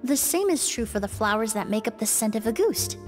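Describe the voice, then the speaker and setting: Woman as gentle high-voiced young perfumer. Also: expressive, lightly acted. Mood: thoughtful, explaining. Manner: measured, polite, reflective. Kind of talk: anime video game voice acting. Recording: game music behind the voice.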